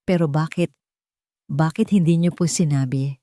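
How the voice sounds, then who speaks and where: A woman's voice: low voice, moderate pace, warm tone, no echo, studio quality, lightly acted. A woman voicing a timid, tearful housemaid in a romance audio story, hesitant and stammering as she speaks deferentially. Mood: puzzled.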